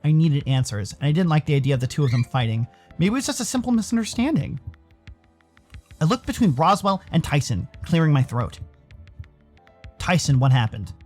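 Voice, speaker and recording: Animated American man narrating a story. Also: fairly high pitch, brisk, soft background music. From Arizona, United States. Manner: theatrical, expressive. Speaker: narrator and streamer.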